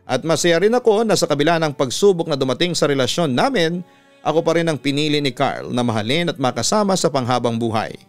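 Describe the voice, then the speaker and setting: Smooth, higher-voiced Filipino male radio storyteller. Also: expressive, fast. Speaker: radio announcer and story host. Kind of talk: scripted narration of a listener's letter. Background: music under the voice.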